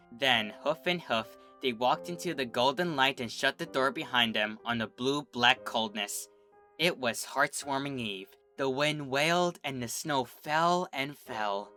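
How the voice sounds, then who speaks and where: Man narrating a storybook reading, lively pitch, bright voice, soft music under. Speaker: fanfic reader and channel host.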